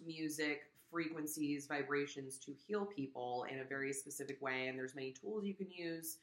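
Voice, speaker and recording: A woman in her thirties chatting casually as an interview guest, haltingly thinking aloud into a shared tabletop microphone in a small room. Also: sits low, bright, forceful tone. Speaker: guest, yoga studio owner. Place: small indoor room with blinds. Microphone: shared USB tabletop microphone. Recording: echoey room.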